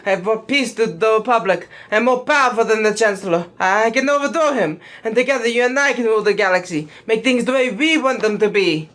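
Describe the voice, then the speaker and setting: Woman acting a brooding, power-hungry young dark knight. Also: fairly high pitch, a little fast, few pauses, natural voice. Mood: fervent, ambitious. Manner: intense, self-righteous. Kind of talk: parody audio play.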